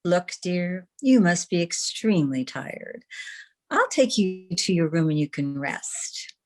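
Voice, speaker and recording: A woman's voice: on the low side, sing-song, slow pace, darkish tone. A woman playing a proud, flustered young newspaperwoman in an old-time radio drama, hesitant and exasperated. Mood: weary, exasperated.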